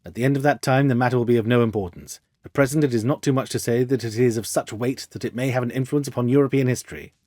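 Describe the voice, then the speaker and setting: A man voicing a huge, haughty Bohemian king in an audiobook story, with a deep, harsh voice, German accent and formal manner. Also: quick pace, few pauses, darkish tone, no echo, clean studio sound, close to an everyday voice. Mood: imperious, secretive. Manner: formal, imperious.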